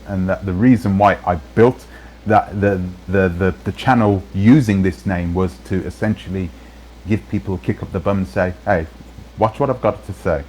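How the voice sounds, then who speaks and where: Low-voiced man rambling on a stream, stop-start delivery, faint hiss behind. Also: a little mellow, rough recording. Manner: rambling, many restarts. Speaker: channel host in played clips. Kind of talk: casual live-stream talk.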